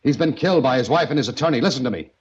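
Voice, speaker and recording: An actor playing a tough, wry, framed hero who speaks tersely in a 1940s radio mystery. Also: male voice, medium pitch, animated, racing, slightly rough. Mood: desperate pleading. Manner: terse, hard-boiled, urgent in dialogue. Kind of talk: 1940s radio mystery acting and first-person narration. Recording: dull vintage 1940s radio recording.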